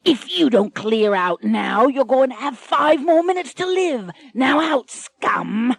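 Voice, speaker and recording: A woman voicing a leering one-eyed male pirate captain who drawls slow, menacing threats. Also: high voice, animated, gravelly, breathy edge, dry recording, voice pushed up, full character voice.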